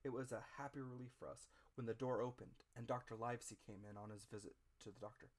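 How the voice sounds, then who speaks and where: A man narrating a literary audiobook as a dramatic reading, theatrical, with deliberate phrasing in short units. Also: mid-range voice, fairly even intonation.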